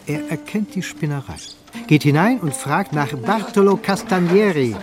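A man narrating a radio play in a measured, literary and dramatic manner. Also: a little high, expressive, over music.

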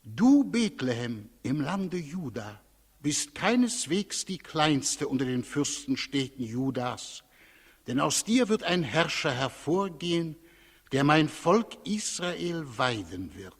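An actor playing a cautious, evasive high priest in a 1960s radio play, deferential, solemn and ceremonious. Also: male voice, sing-song, slow, husky, full character voice. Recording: 1960s radio recording, reverberant hall.

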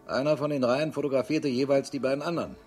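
An actor in a radio crime drama playing a sardonic, world-weary police chief in his fifties with a husky voice, grumbling as he talks. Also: mid-range voice, steady tone, fast talker, a little mellow, faint music behind, natural voice. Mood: sardonic, puzzled. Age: 50s.

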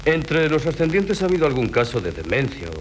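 A man's voice: fairly high pitch, very animated, a little fast. An actor playing a pompous, methodical doctor in a 1970s radio comedy, officious and muttering as he questions. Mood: self-assured, clinical. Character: pompous, methodical male doctor taking a case history. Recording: noisy old 1970s radio recording.

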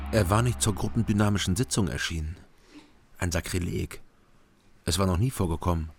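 An actor playing an uneasy East German private investigator in a radio crime drama, terse and hesitant. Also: male voice, deep voice, a little fast, gravelly, a little mellow, slightly rough, heavily acted. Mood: tense suspense. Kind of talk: radio crime drama, first-person narration and dialogue. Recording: music behind the voice.

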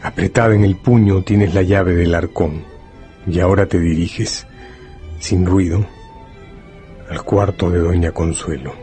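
A male narrator with a deep voice reads a dramatic scripted story. He moves in short, deliberate phrases. Music plays clearly underneath him. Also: steady tone, dark tone.

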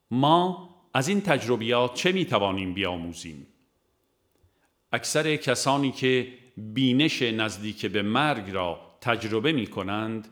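A man reads a scripted audiobook in a measured, formal manner. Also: mid-range voice. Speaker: audiobook narrator.

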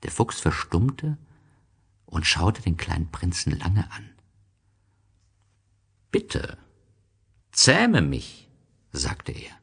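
A man's voice: sits low, big pitch swings, slow, creaky, slightly rough. A man reading scripted literary narration as the narrator of a story.

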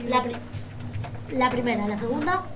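A woman presents community radio announcements casually. Music plays clearly behind her as she co-hosts. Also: fairly high pitch, expressive, moderate pace, bright, forceful tone.

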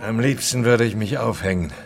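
Creaky, embittered old opera star. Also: male voice, fairly low pitch, brisk. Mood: bitter despair. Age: older. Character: embittered, vain fallen opera star in his eighties. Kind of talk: radio drama dialogue.

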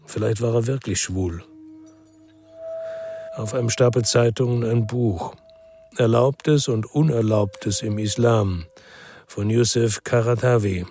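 A man playing a brooding former police detective who narrates a crime radio drama in a sober, terse, reflective way with a husky voice. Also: lowish voice, slow, pauses often, warm tone, soft background music.

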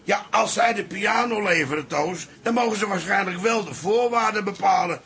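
An actor playing an easygoing, teasing pub regular with a wry manner in a radio drama. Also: male voice, sits high, normal speed, a bit bright.

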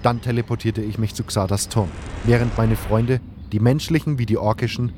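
Ein Mann liest als Ich-Erzähler eines Fantasy-Hörspiels einen geschriebenen Text vor. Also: male voice, on the low side, steady tone, normal speed, noisy background. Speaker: narrator and hero of fan audio drama. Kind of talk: scripted first-person story narration.

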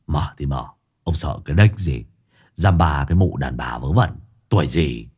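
A man's voice: rumbling low, animated, normal speed, darkish tone, breathy edge, pitched below the performer's own voice, full character voice. A man voicing a crude, blustering male thug who swears coarsely and whines when he is scared. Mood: muttering.

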